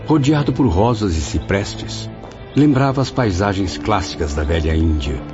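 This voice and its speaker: Casting: Brazilian male narrator, very low voice, literary radio drama delivery with wide melodic swings. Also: expressive, normal speed. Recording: dull audio under loud music.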